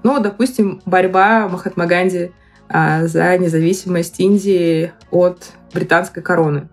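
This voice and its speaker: A woman in her thirties giving reflective explanations in a podcast, picking her phrases haltingly and deliberately. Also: lowish voice, fairly even intonation, faint music behind. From Moscow, Russia.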